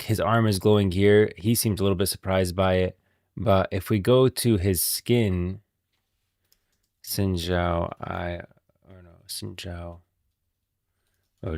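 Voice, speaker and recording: A man's voice: deep voice, darkish tone, no echo, studio quality. A man hosting a casual livestream about game lore, talking informally and directly to his viewers. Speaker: livestream host.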